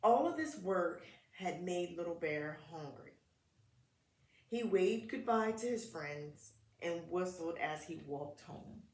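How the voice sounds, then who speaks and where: A woman's voice: on the low side, animated, takes it slowly. A woman reading a picture book aloud to preschool children in short, simple phrases, talking to them directly. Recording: echoey room.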